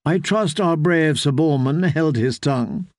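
A man's voice: on the high side, unhurried, no echo, close to an everyday voice. A man voicing a proud queen who gives orders in a cutting, commanding way. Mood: imperious. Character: imperious, scornful queen.

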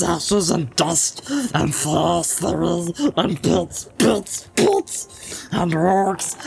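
A woman's voice: mid-range voice, vocal fry, heavily acted. A woman voicing a wretched, split-minded male creature in an audiobook, hissing, whispering and whining, swinging between whimpers and shrieks. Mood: wheedling. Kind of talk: audiobook character voice.

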